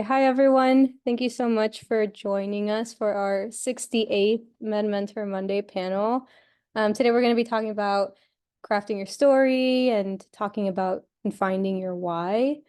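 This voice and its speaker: Woman running an online panel on a video call, warm mellow voice, upbeat. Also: mid-range voice, clear, resonant tone. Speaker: panel moderator.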